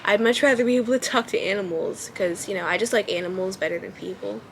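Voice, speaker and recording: A young woman with a light, childlike voice, pitched at an ordinary middle height, talks in a relaxed way at home. She hesitates and fills her pauses with ums as she thinks aloud. A faint hum sits under her voice. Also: vocal fry. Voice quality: childlike, baby-ish voice. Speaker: vlogger. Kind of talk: casual talk to camera.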